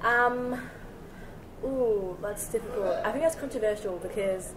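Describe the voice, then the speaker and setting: A woman's voice: mid-range voice, a little slow, a crowd in the background, background noise. A young woman from Australia, a student, thinking aloud with some hesitation in a casual discussion. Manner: thoughtful, hesitant, uses "like".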